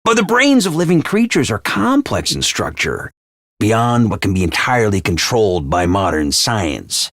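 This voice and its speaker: An actor playing a theatrical, scheming schoolmaster who barks cheerful orders at troops and then drops to low, calm menace. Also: male voice, moderate pace, dry recording, studio quality, heavily acted. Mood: calm sinister. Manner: booming, swings between shouted cheer and low menace. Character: manic theatrical male drill-sergeant schoolmaster, scheming. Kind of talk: video game voice lines.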